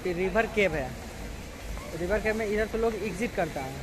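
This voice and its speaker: A man's voice: sits high, lively intonation, moderate pace. A young man gives casual, repetitive walking commentary, chatting informally to viewers outdoors on a handheld camera. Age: young adult. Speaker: travel vlogger. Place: outdoors in a busy amusement park. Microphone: phone or camera at arm's length. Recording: noisy outdoor crowd and vehicle din. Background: crowd chatter, outdoor ambience.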